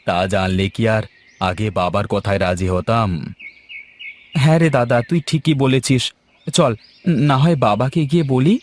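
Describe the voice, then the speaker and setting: A man's voice: lowish voice, slight background noise, close to an everyday voice. A man voicing a smitten young village son in a cartoon fairy tale, earnest and eager. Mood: admiring.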